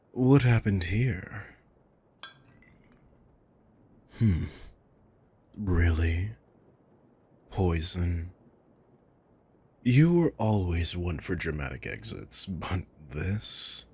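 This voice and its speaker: Male actor as sadistic ASMR villain, low husky voice, slow pace, mocking, possessive, menacing pauses. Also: a little mellow, full character voice. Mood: mock disappointment. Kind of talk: ASMR roleplay audio drama.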